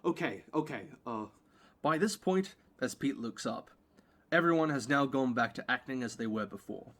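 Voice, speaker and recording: A man narrates an audio play in a mid-pitched voice. He reads scripted prose, describing the scene and the action for the listener. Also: animated, moderate pace, fairly bright tone.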